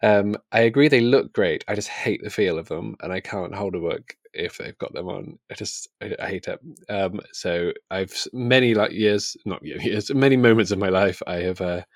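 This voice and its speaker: A British man with a low voice co-hosts a casual book podcast. He is chatty and self-deprecating, recorded close and dry. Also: moderate pace, few pauses, dry recording. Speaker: podcast co-host.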